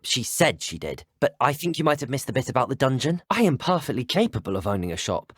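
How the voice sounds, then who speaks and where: A man's voice: medium pitch, big pitch swings, quick pace, a bit bright, slightly rough, dry recording, clean studio sound. A man playing a polite, inquisitive teenage wizard student in a video game, with a British accent and courteous, articulate delivery. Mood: confident, insistent.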